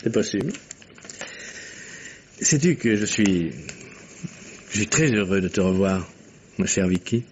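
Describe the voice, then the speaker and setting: An actor playing a flirtatious, insistent ex-husband, a smooth-talking captain, in a 1950s-style French radio drama. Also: male voice, lowish voice, animated, a little slow, pauses often, darkish tone. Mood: probing. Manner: smooth-talking, teasing, hesitant flourishes. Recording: muffled, noisy vintage radio recording.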